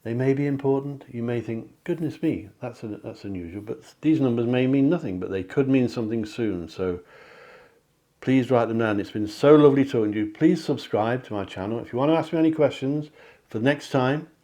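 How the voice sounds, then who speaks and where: A man, a psychic hosting a card reading, talking in a chatty, encouraging way that often corrects itself, his voice a little hoarse. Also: mid-range voice, brisk, warm tone. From England. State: slightly hoarse, clearing throat. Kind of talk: tarot-style card reading to camera. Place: home near the sea.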